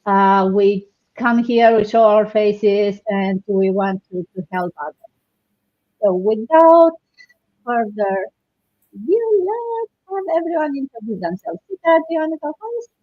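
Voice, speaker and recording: A woman's voice: a little high, animated, measured pace. A woman from Romania hosting a live online panel, talking hesitantly with many fillers and restarts. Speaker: host. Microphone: video call. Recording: dull lo-fi online call audio.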